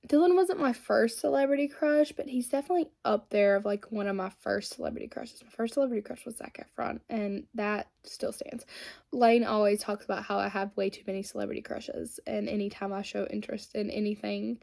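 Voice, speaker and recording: A woman's voice: mid-range voice, steady tone, brisk, vocal fry, a little mellow. A woman, chatting casually and rambling while she does her makeup. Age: 20s. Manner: chatty and rambling, many fillers. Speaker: beauty vlogger.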